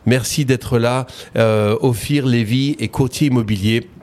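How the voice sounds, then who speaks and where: A man's voice: medium pitch, steady tone, a little slow, darkish tone. A man with a French accent hosting a casual radio interview, chatty and full of fillers. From France. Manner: friendly, chatty, many fillers and repeated words. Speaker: radio show host.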